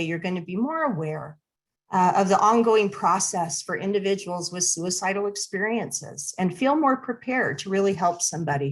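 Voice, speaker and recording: Woman, slightly hoarse, friendly webinar presenter, video call. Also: medium pitch. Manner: friendly, conversational, with fillers and restarts. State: slightly hoarse, clears throat. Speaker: nonprofit area director presenting a webinar. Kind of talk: online presentation to attendees.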